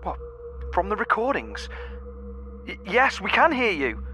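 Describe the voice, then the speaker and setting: A man's voice: medium pitch, expressive, moderate pace, pushed and bright, faint music behind. A man playing a terse expedition leader in an audio drama, using clipped, military-style phrasing. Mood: agitated. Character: terse male expedition leader exploring an anomaly. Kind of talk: naturalistic audio drama acting.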